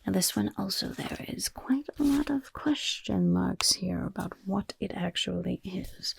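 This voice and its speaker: A woman's voice: mid-range voice, a little mellow, heavily acted. Whispering, crackly-voiced goblin rogue played by a woman. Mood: intrigued, hushed. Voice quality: breathy, whispery. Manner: casual, conspiratorial, wry asides. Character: chatty, roguish female goblin guild member offering heist jobs. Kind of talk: whispered ASMR fantasy roleplay.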